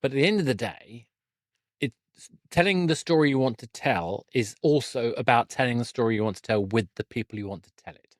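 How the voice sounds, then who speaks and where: A man's voice: mid-range voice, animated, a little fast, dry recording, clean studio sound. A man chatting casually as a podcast host, thinking aloud and often correcting himself mid-sentence. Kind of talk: casual conversational interview.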